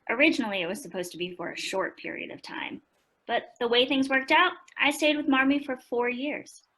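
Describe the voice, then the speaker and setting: A woman's voice: on the high side, a little fast, a bit bright. A woman playing an earnest author in a radio play, recalling her foster family in reflective narration. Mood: fond, reflective.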